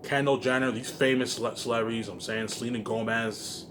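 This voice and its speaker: A man's voice: medium pitch, steady tone, fast talker. A man rambling casually to viewers in an emphatic, repetitive, profane way, leaning on the same verbal tags. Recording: faint laundry-machine background noise.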